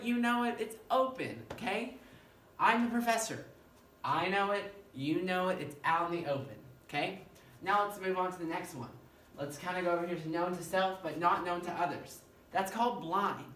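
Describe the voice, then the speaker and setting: Man, professor, high-pitched, animated lecture, distant mic, faint hiss. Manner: animated, repeats phrases, checks in with "okay?". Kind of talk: classroom lecture. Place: classroom. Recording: echoey room, mic far off, faint noise.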